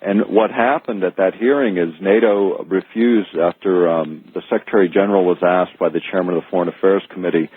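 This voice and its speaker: A North American man with a husky voice, explaining in earnest, careful detail as a guest on a radio interview. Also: sits low, fairly quick, hardly any pauses. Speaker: guest author and researcher. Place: remote call from Alaska into radio show. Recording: phone-like, echoey archived radio call.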